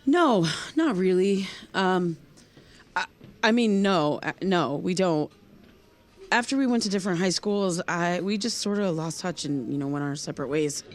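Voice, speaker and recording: A woman playing an awkward, guarded young loner in naturalistic audio drama, speaking in a hesitant, halting way. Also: on the low side, expressive, close to an everyday voice.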